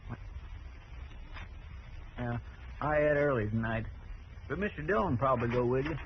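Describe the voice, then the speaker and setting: An actor playing a loyal, earnest marshal's sidekick with a folksy rural American drawl in an old-time radio Western. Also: male voice, medium pitch, lively intonation, normal speed, lightly acted. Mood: easygoing, folksy. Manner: plain-spoken, folksy, earnest. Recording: dull, hissy old radio recording.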